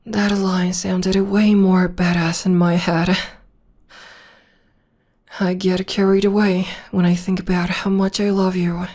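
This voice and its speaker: A young woman voicing a tender, protective witch girlfriend in an ASMR roleplay, speaking softly and often whispering close to the microphone. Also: fairly low pitch, flat delivery, slow pace. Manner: soft and soothing, often whispering. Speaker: voice actor.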